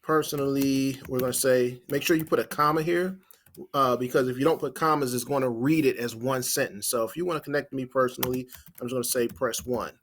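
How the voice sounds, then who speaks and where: A man's voice: mid-range voice, a little fast, a little hiss or hum. A man presenting an informal screen-share tutorial as a marketing trainer, explaining step by step and thinking aloud as he types.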